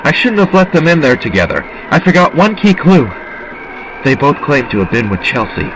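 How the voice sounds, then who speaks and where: A man's voice: on the high side, very animated, normal speed, a bit bright, slight background noise, poor audio. A man playing an earnest, desperate survivor hunting a killer in an audio drama, dramatically thinking aloud. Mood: grim, brooding.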